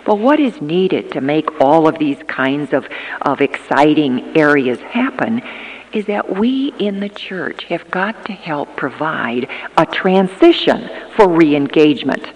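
A woman in her fifties giving an earnest talk at a church gathering, emphatic and often pausing with hesitations. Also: deep voice. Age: 50s. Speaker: church conference speaker. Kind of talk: prepared talk on aging and ministry. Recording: long reverb of a large hall.

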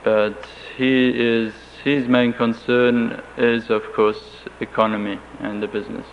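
A German-accented man whose first language is German, speaking in a flat, level tone with little movement in pitch. He answers slowly and carefully, often restarting his sentences. The recording is a dull lo-fi tape with a faint hiss underneath. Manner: halting, careful, with frequent restarts. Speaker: interviewee.

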